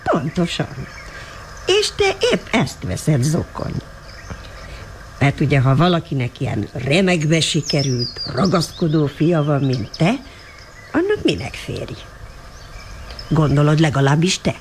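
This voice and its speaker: A woman's voice: sits low, very animated, pauses often, a little mellow. Radio play grandmother, old and canny, affectionate but blunt, with wildly swinging intonation; noisy vintage tape with birds chirping. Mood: probing. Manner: plain-spoken, probing, with rhetorical questions. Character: shrewd, loving old grandmother advising her grandson. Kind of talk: radio drama acting. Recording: noisy 1980 radio drama recording.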